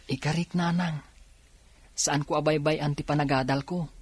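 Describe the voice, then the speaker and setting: Earnest, higher-voiced Filipino teenage son. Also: male voice, fairly even intonation, normal speed, breathy edge, dry recording. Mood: earnest, hopeful. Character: dutiful, hardworking teenage son and older brother from poor village. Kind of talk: Ilocano radio drama acting.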